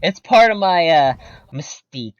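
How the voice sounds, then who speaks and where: A teenage guy chatting casually about horror movies, laid-back and joking, often trailing off quietly. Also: fairly high pitch, animated, measured pace, smooth, dry recording, dull audio. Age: teens. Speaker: co-host. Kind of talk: casual movie review chat.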